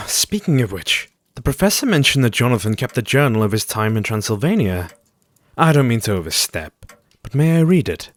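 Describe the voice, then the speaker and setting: Expressive, courteous Victorian doctor. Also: male voice, medium pitch, normal speed, dry recording. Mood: curious, hesitant. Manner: courteous, formal, earnest. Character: Jack, gentle, troubled Victorian doctor. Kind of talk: audio drama acting.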